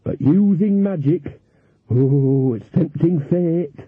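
A man's voice: a little high, slow, husky, voice pushed up. A man voicing a gossipy older woman witch who talks in a chatty, folksy way. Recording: thin phone-like sound.

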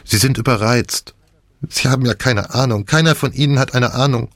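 A man's voice: medium pitch, normal speed, no room sound, clean studio sound, pitched above the performer's own voice, close to an everyday voice. A man reading an audiobook, voicing a shocked, weary young woman in a lifeless, resigned manner. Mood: bitter, resigned. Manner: lifeless, flat delivery. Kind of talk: audiobook dialogue.

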